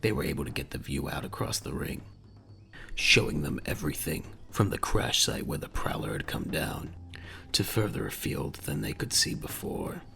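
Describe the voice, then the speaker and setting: A man with a gravelly voice narrating a dramatic audio drama in a grave, measured storytelling manner. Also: big pitch swings, pushed and bright. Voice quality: very deep, gravelly. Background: faint music.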